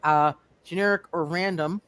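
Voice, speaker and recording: A man acts as game master in a superhero roleplay session. His voice sits a little high and is recorded dry. He restarts and repeats phrases as he works out the rules aloud. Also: steady tone, moderate pace, fairly bright tone, clean tone, dry recording.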